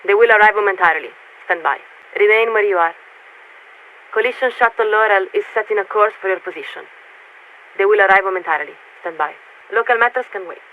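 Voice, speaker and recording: A woman playing a composed warship radio signaler in a video game, speaking in formal, measured military diction through a radio filter. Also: medium pitch. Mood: brisk, official. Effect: radio transmission filter. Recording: tinny narrowband radio with faint static.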